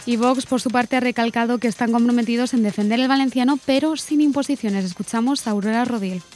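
A woman reading local news as the presenter, in a brisk, even newsreader manner. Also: fairly high pitch, faint music behind. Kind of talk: scripted news narration.

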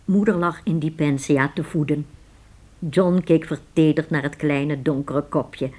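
Low, dark, husky woman narrator. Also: steady tone, unhurried. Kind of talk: scripted storytelling narration of a radio play.

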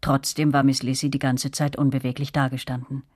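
An actress playing a reflective female narrator who recalls her girlhood, telling a radio drama in measured, serious literary first person. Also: very deep voice, little pitch movement, darkish tone, no echo, clean studio sound. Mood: sombre, observant.

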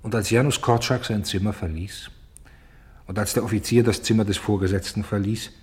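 Low, husky male radio play narrator. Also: steady tone, a little fast, a little mellow. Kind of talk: literary radio drama narration.

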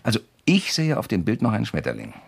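Radio crime drama: persistent investigator, gravelly and lowish, courteous but slyly probing. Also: male voice, huge pitch swings, exaggerated voice. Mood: probing, feigned innocence. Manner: insistent, questioning, deliberate.

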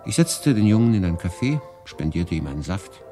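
A man acting a guarded, quietly jealous refugee who recounts his exile in a German radio drama. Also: low-pitched, very animated, moderate pace, faint music behind. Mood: brooding.

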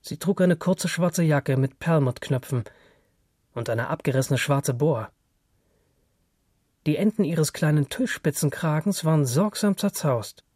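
A man giving a measured, scripted literary narration as a storyteller. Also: fairly high pitch, a little mellow, husky, no room sound, clean studio sound. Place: dry studio.

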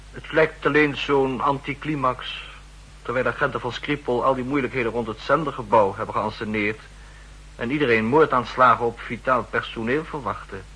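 An actor playing an eager, earnest young intelligence officer with some stubbornness, in the style of a 1960s radio drama. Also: male voice, mid-range voice, moderate pace, bright, forceful tone, husky, rough recording. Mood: casual, ambitious. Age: young adult.

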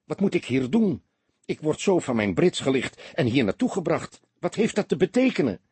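A man's voice: fairly high pitch, sing-song, normal speed, a little mellow, breathy edge, no echo, pitched above the performer's own voice, exaggerated voice. A man voicing a nervous, defensive young soldier in an audiobook, stammering and hesitant, sometimes indignant. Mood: indignant, bewildered.

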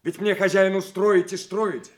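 A man's voice: high voice, steady tone, unhurried. A man acting a resigned, put-upon state farm director who explains himself, in a Soviet radio play. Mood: resigned, defensive.

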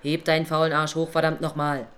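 Low-voiced exasperated guardian angel. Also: female voice, completely flat delivery, a little fast, fairly bright tone. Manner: weary, blunt, coaxing. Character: exasperated, foul-mouthed, devoted female guardian angel. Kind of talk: radio play acting.

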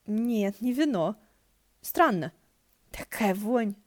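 A woman voicing a breezy, expressive young male wind god in an exclamatory, chatty manner. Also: mid-range voice, slow, no room sound, pitched above the performer's own voice. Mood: surprised, puzzled.

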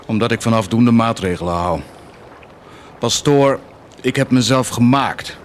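A man's voice: medium pitch, a little slow, slight background noise, natural voice. An actor playing a cynical, blasphemous army commander who is proud of his wickedness, in a theatrical, declamatory radio drama style with defiant outbursts. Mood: boastful, defiant. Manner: declamatory, defiant, swinging from muted menace to outbursts.

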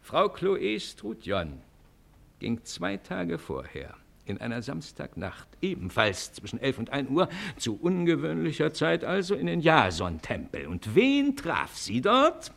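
Fiery, sharp-voiced accusing advocate. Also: male voice, fairly high pitch, huge pitch swings, creaky, heavily acted. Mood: pointed, insistent. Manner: bombastic, declamatory, rhetorical questions, dramatic pauses. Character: fiery male advocate accusing priests of corruption. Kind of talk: theatrical courtroom oratory in a German radio play.

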